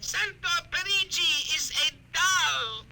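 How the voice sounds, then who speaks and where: Squeaky tiny imperious alien doll. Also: lively intonation, takes it slowly, gravelly, pushed and bright, exaggerated voice. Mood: imperious, smug. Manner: precise, imperious, formal. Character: tiny haughty alien commander posing as a six-inch doll. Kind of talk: 1950s radio drama acting. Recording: noisy vintage 1950s radio recording.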